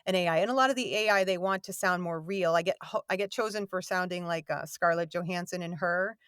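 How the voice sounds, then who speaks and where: Woman voice actor rambling about work, bit of gravel and warmth, dry close sound. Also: on the low side, quick pace, no echo. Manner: rambling, self-deprecating, many restarts. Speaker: voice actor guest. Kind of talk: casual interview chat. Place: home recording booth.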